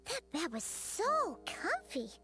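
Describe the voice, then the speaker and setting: Very high, chirpy childlike companion. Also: female voice, takes it slowly, heavily acted. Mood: relaxed, surprised. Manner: refers to self in third person, excitable. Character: small cheerful chatty childlike floating companion girl. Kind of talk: anime game voice acting.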